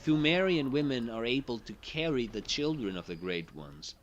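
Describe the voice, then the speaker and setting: A man reading a scripted video essay narration in a measured, formal way. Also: medium pitch, animated, dull audio.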